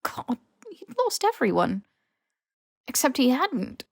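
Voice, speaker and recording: A woman reading fan fiction as expressive scripted narration, with emotive, dramatic phrasing. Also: sits high, slow pace, gravelly, no room sound, studio quality. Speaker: podfic reader.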